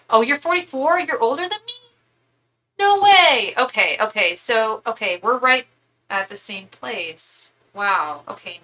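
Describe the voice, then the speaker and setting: Woman, 30s, live tarot reader, rambling chatty delivery, bright crisp voice. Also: mid-range voice, low-quality recording. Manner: chatty, rambling, many asides and restarts. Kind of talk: live tarot reading for viewers.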